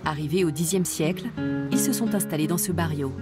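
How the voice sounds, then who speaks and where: A woman narrating a travel documentary in a calm, measured, scripted voice. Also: deep voice. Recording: voice-over with music bed.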